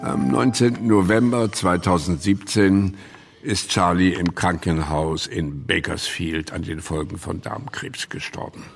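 A man's voice: low voice, fairly even intonation, a little slow, creaky. A man with pronunciation giving scripted, matter-of-fact documentary narration in a radio play. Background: music.

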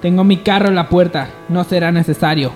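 A man's voice: high voice, steady tone, a little fast, a little mellow, soft background music, lightly acted. A man playing a petulant, melodramatic rich young heir in a parody radio soap. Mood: irritated.

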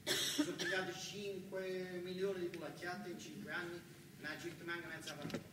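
A man's voice: high-pitched, little pitch movement, normal speed. A man with a southern Italian accent performing a colloquial, emphatic, repetitive first-person theatrical monologue. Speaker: stage actor performing a narrative monologue. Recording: very echoey, distant, noisy live hall recording.

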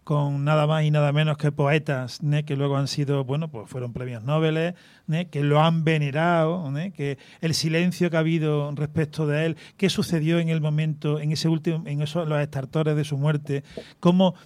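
A man with a voice pitched a touch above average hosts a radio conversation. He praises his guest freely. He hesitates often and keeps tagging his remarks with little questions. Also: little pitch movement, a little mellow, no room sound. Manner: effusive, fills pauses with "eh" and "¿no?". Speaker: radio host. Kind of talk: talk show interview.

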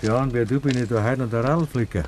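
A man's voice: medium pitch, fast talker, a little mellow, close to an everyday voice. An actor playing a terse, reluctant village man in a 1950s Bavarian dialect radio play, answering in a curt, guarded way. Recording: 1950s radio recording, faint noise.

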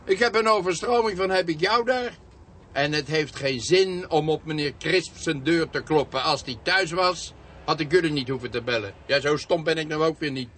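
An actor playing an impatient, indignant neighbour who complains in exasperation in a radio play. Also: male voice, on the high side, normal speed, fairly bright tone, natural voice. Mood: exasperated. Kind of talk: Dutch radio play acting. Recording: faintly noisy radio play recording.

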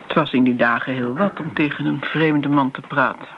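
An elderly woman with a gravelly creak in her voice, heard through a muffled, narrow old radio recording. She is a wistful, self-justifying family friend who rambles and repeats herself in a flustered way, in the style of a radio drama. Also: a bass voice, fairly even intonation, moderate pace, slight background noise. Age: older. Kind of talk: Dutch radio play acting. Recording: dull, band-limited old radio recording.

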